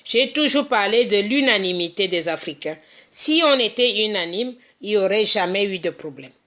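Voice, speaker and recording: A woman's voice: medium pitch, expressive, normal speed, fairly bright tone. A middle-aged woman from Cameroon speaking in an impassioned monologue, emphatic, repeating words and restarting her phrases. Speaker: community association founder.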